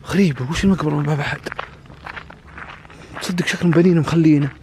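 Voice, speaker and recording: A young man filming a night vlog outdoors on a phone, speaking in hushed, tense tones and murmuring prayers between orders. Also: on the high side, fairly even intonation, very fast, a little hiss or hum. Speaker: vlogger. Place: outdoors at night, abandoned cemetery.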